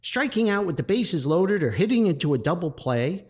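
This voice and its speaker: A man voicing an excitable, long-winded forty-year-old church committee member in a comic story. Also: sits high, moderate pace, slightly rough, voice pushed up, exaggerated voice. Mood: zealous, eager. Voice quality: whistling speech through gapped teeth.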